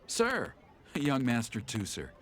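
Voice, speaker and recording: Polite, formal retainer in a game, very animated intonation, medium register, music bed. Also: male voice, mid-range voice, slow. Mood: deferential, courteous. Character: formal, deferential male servant escorting young master. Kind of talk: video game voice acting.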